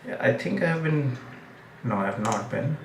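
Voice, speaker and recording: A man's voice: mid-range voice, lively intonation, distant mic. A man with Gujarati as his talks through a casual livestream, starting and restarting his sentences with plenty of fillers. His voice sits in a roomy space, a little off the mic, with a faint ambient hum behind it. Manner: halting, many fillers and restarts. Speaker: livestream host. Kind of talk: casual commentary stream.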